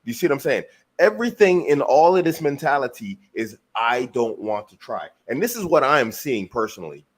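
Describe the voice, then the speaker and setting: A man's voice: medium pitch, very animated, a little fast. A man from the United States, a guest on a podcast, talking emphatically and throwing in rhetorical questions. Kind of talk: motivational podcast talk. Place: lives in Germany.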